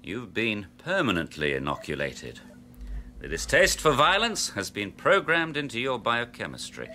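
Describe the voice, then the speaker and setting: An actor playing a cold, arrogant scientist, clinical and dismissive. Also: male voice, mid-range voice, wildly swooping pitch, moderate pace, pushed and bright, a little hiss or hum, heavily acted. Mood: smug, excited. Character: Doctor Brodsky, cold arrogant male scientist.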